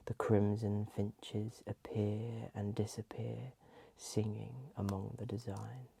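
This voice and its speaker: A soft, breathy ASMR host reading in a hushed voice that often slips into a whisper. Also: medium pitch, level tone, slow pace, slight background noise, poor audio.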